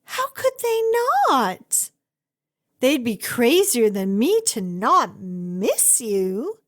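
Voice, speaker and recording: A woman playing an obsessive, doting kidnapper in an ASMR roleplay, with a gushing, cutesy, halting and sweetly menacing delivery. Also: a little high, very animated, exaggerated voice. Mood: pleading, gushing. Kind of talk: ASMR roleplay acting addressed to the listener.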